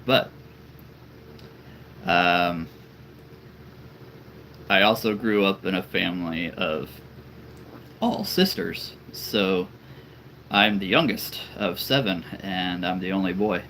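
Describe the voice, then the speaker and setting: A man with a very deep voice, chatting casually and rambling on a live stream as a toy-collecting channel host. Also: slow, pauses often, bright, forceful tone, slight background noise, rough recording. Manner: chatty and rambling, laughs often.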